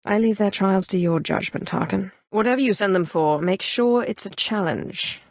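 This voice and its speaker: An actress playing a ruthless, haughty Sith sorceress in a video game, speaking in an imperious, commanding and coolly menacing manner. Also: female voice, medium pitch, moderate pace, creaky, dry recording, studio quality, close to an everyday voice. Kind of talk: scripted video game dialogue.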